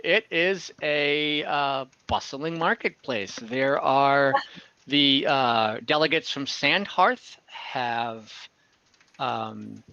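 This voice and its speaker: Bright, clear-voiced man running a game. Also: mid-range voice, no room sound, highs cut off. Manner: halting, chuckling, voices characters casually. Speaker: game master. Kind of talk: improvised narration of an online tabletop game.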